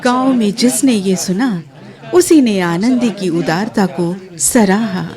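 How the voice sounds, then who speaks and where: A woman's voice: medium pitch, slow, a little mellow, faint music behind, close to an everyday voice. A woman playing the narrator of a village family tale in a radio drama, reading expressively and with measure. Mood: grave narration.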